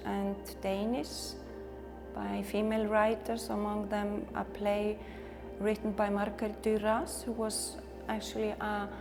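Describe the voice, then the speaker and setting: Icelandic accent lady, gravelly voice, pretty flat, thinks out loud and repeats words, echoey hall with music. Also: mid-range voice. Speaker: novelist, interview guest. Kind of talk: reflective conversational interview.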